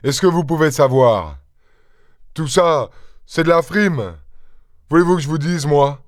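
Man acting an excitable, blustering peasant farmer. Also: a little high, measured pace, no room sound, clean studio sound, voice pushed up. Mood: scornful, exasperated. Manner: exclamatory, insistent, stammering when alarmed.

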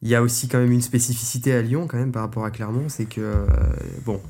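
A man's voice: medium pitch, fairly even intonation, fast talker, mellow. Young man from Auvergne, casual and joking. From Auvergne, France. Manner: loose, joking, filler-heavy. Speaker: podcast co-host. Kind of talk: casual chat.

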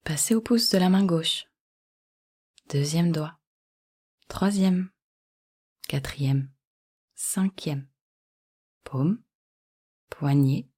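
A woman guiding a yoga nidra relaxation in calm, measured short instructions. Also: on the low side, husky. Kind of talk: guided relaxation meditation.

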